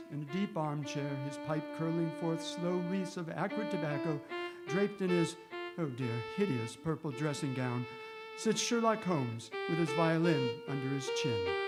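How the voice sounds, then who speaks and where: An actor playing a genial, admiring gentleman companion and chronicler in a radio drama, with a genteel, old-fashioned manner. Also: a little high, lightly acted. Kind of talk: radio drama acting and narration.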